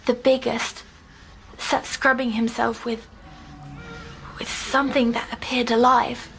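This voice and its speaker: A woman playing a timid young girl in an audio drama, recounting nightmares in a hesitant, halting way. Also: on the high side, level tone, fairly bright tone, faint music behind, rough recording. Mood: uneasy. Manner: hesitant, halting, breaking off mid-phrase.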